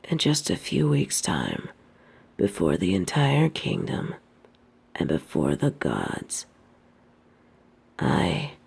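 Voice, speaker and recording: A woman playing an evil, possessive queen in an intimate audio roleplay, speaking formally and seductively in a breathy voice that often drops toward a whisper. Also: extremely low pitch, huge pitch swings, darkish tone, heavily acted. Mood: adoring, triumphant. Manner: formal, regal, slow seductive delivery.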